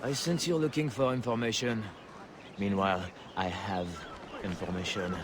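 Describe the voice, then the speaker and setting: An actor playing a sly, self-serving trader in a video game, speaking in a wry, insinuating way. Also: male voice, on the low side, steady tone, normal speed, natural voice. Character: sly, self-serving male fishing trader striking bargains. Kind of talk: dramatic game cutscene acting. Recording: noisy outdoor game ambience behind.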